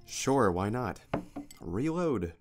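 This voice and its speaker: A man's voice: fairly low pitch, sing-song, very slow, soft background music, heavily acted. A man playing a polite, slightly stiff bar customer in a radio play, speaking in a formal, wordy way. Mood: dry politeness.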